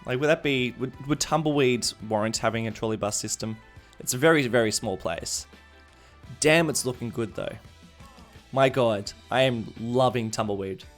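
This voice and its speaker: A man casually commenting on a game live stream, thinking aloud as he chats with viewers. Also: lowish voice. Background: background music.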